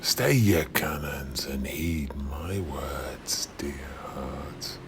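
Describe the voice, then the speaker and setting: A man voicing a menacing, courtly undead pirate captain with a gravelly voice and theatrical, archaic, drawn-out speech. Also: extremely low pitch, background noise, voice pushed down, exaggerated voice. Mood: sinister courtesy.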